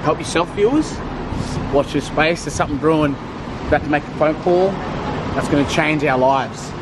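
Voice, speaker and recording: A man's voice: on the high side, moderate pace. A man in his thirties chatting casually to camera in an upbeat, slangy hype style. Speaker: vlogger and rugby league player. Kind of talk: casual vlog chat to camera. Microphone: handheld camera at arm's length. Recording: clear background noise, traffic and room sounds.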